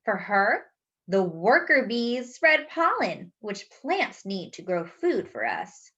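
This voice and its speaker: A woman reading a picture book aloud to young children, enunciating deliberately and adding playful asides as a story-time host. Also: medium pitch, very animated, fairly bright tone, muffled recording.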